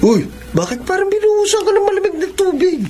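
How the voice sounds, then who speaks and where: A man's voice: very high voice, very animated, fast talker, over music. A man acting as a talkative, teasing young buddy in a Filipino radio drama. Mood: curious. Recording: radio drama with noisy ambience.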